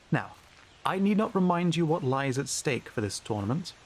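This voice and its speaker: A man's voice: on the high side, sing-song, measured pace, a little hiss or hum. A man playing a smug, scheming, haughty knight in a fantasy audio roleplay, with a pompous, mocking drawl. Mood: gloating menace.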